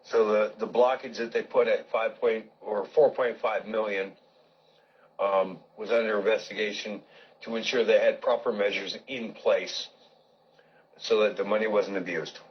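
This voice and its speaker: Lowish male voice on muffled CB radio. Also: fairly even intonation, normal speed. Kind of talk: casual radio chatter. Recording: dull lo-fi CB radio, phone-recorded.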